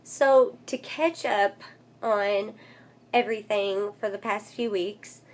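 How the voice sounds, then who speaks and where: A woman's voice: mid-range voice, slow pace. A woman vlogger with a congested, nasal voice, recovering from losing it, speaking in a casual update style and recorded on a phone. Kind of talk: casual update vlog, reading aloud from a book. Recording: clear background noise, phone recording.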